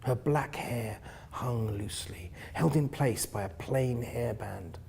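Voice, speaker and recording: A man with a husky voice reading first-person literary fiction in an expressive, theatrical manner, often hushing the ends of phrases. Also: medium pitch, takes it slowly, a little hiss or hum. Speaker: reader of a novel.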